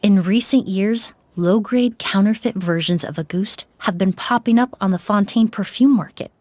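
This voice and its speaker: An actress playing a young perfumer in a video game, polite, composed and measured. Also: female voice, mid-range voice, darkish tone, dry recording, close to an everyday voice. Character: young female perfumer, poised and earnest.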